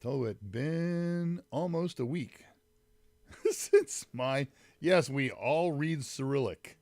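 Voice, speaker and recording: A man running a loose, friendly tech livestream, greeting viewers and wandering between topics. A summer cold leaves his voice slightly deep and congested. The sound is dry, with no room to it. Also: takes it slowly, a little mellow, no echo. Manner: rambling, greets chat viewers by name, frequent fillers. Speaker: livestream host. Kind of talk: casual live-stream chat with viewers. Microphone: mic set some distance away.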